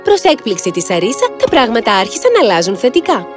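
A woman narrating a scripted children's fairy tale as an expressive storyteller. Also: fairly high pitch, over music.

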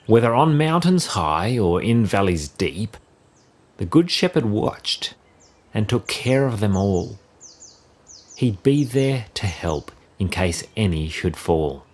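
A man reads a rhyming children's story in a low, slightly husky voice. His delivery is measured and expressive, with plenty of rise and fall, as a storybook narrator. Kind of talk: scripted rhyming children's story read-aloud.

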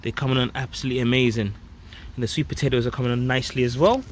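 A man's voice: medium pitch, steady tone, racing. Enthusiastic man chatting over outdoor noise. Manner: enthusiastic, emphatic, addresses viewers as guys. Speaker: vlog host, allotment gardener. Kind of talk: casual handheld garden update to viewers. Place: outdoors on an allotment garden. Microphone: handheld phone at close range. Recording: outdoor ambient and traffic noise. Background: outdoor ambience, vehicle noise.

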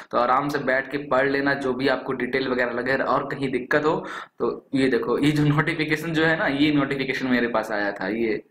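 A man's voice: mid-range voice, a bit bright, a little hiss or hum. An Indian-accented man with a cold hosts a job alert video, explaining casually and chattily, addressing viewers as friends. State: has a cold, coughing and throat clearing. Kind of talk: casual explainer over screen recording. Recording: echoey room.

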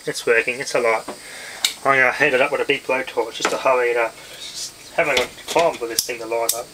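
Noisy handheld camera audio with clicks, recorded indoors. An Australian man gives a casual hands-on demonstration at a middling pitch. He talks offhand as he works, half to himself. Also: steady tone, fairly quick. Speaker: hobbyist video maker. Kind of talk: casual hands-on demonstration, talking while working. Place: indoors at home, working by hand. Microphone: handheld camera microphone.

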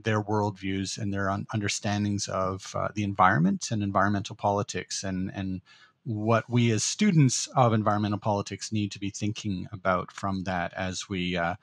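Middle-aged male podcast co-host, reflective and halting delivery, low-pitched voice, dry close sound. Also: gravelly, no room sound. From Netherlands. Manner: thoughtful, hesitant with many fillers and restarts. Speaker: podcast co-host, political science professor. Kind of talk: casual conversational podcast.

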